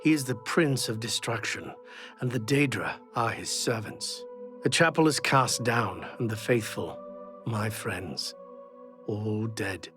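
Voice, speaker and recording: An actor playing a despairing, devout doomsayer in a fantasy video game, mournful and declamatory. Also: male voice, lowish voice, slow pace, over music.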